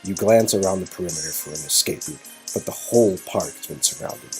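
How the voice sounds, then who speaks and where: A man's voice: low-pitched, a little fast, faint music behind. A man reading an interactive story game aloud as narrator, with playful, goofy asides. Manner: goofy, rambling ad-libs. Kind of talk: playful dramatic read-aloud with silly ad-libbed asides.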